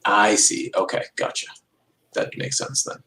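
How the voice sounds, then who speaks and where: A man's voice: on the low side, unhurried, pushed and bright. A man chatting casually as a team member on a work call, easygoing and agreeable. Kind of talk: casual chat.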